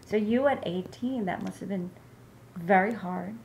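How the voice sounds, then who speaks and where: A woman's voice: medium pitch, a little slow, gravelly, darkish tone. A woman hosting an interview, asking probing questions with fillers like "you know" and "I mean". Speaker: interview host. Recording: echoey room with faint noise.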